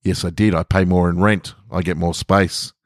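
A man's voice: low voice, fairly even intonation, moderate pace, dry recording, natural voice. Guy reading as a petty fed-up housemate in a story, incredulous n defiant, close dry sound. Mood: smug, defiant. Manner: incredulous, sweary, argumentative. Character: exasperated petty housemate, indignant and defiant man. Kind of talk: audio short story dialogue.

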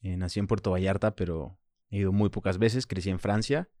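A man in his thirties with a very deep voice and a Mexican Spanish accent, though French was his first language. He talks in a halting, reflective way, leaning on small fillers between thoughts. The sound is close and dry, with no room around it. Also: fairly even intonation, warm tone, no room sound. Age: 30s. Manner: reflective, halting, frequent "pues" and "¿sabes?". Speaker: guest. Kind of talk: personal interview.

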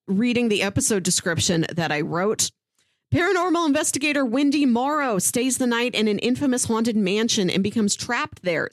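A woman's voice: fairly high pitch, dry recording. A woman co-hosting a podcast, giving recommendations in a chatty, informal way with casual asides. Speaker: podcast co-host.

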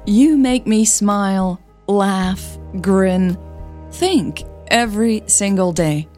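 A woman's voice: mid-range voice, slow pace, darkish tone, soft background music. A woman narrating fiction and talking informally as the host of her podcast.

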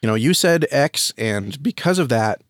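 A man's voice: mid-range voice, animated, moderate pace, no echo, studio quality. An American man giving earnest, enthusiastic conversational answers as a guest in an interview. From United States. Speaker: podcast guest, audio drama reviewer and podcaster.

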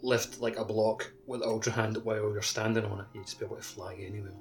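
A man's voice: fairly low pitch, level tone, fast talker, soft background music. A man co-hosting a casual game podcast, chatty and full of "like"s. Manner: chatty, filler-heavy with likes. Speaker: podcast co-host. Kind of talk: casual chat.

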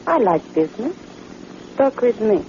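Sultry, mellow frontier temptress. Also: female voice, a little high, very animated, measured pace. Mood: cool, coy. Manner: sultry, forward, languid. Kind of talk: old-time radio western acting. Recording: muffled, noisy vintage radio recording.

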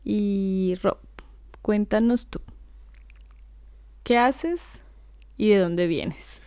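Slow-spoken Colombian woman, smooth voice. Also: mid-range voice, no room sound. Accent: Colombian Spanish. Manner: relaxed, laughs often, many fillers. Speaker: co-host. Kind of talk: casual conversation.

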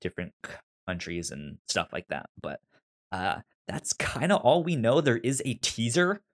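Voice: medium pitch, big pitch swings, normal speed. A podcast host of unclear sex chatting casually about television, often stumbling and repeating words while explaining. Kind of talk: casual TV show discussion.

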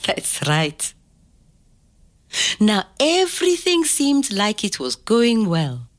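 An older woman telling a family story to a child, slow and expressive, pausing to ask gentle questions. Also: mid-range voice. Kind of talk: oral history storytelling to a child.

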